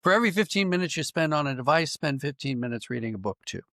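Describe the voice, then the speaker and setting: Gravelly American man, thoughtful and halting. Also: a little high, expressive, pushed and bright, dry recording, studio quality. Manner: thoughtful, halting with repeated false starts. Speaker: podcast guest, writer and dramatist. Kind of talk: conversational interview, explaining ideas.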